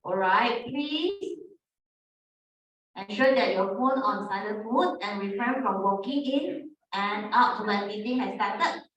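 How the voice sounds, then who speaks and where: A woman hosting a club meeting, reading the rules and introducing speakers in slow, halting English. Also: fairly high pitch. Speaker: meeting host. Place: club office room, recorded from afar. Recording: echoey, distant, dull room recording.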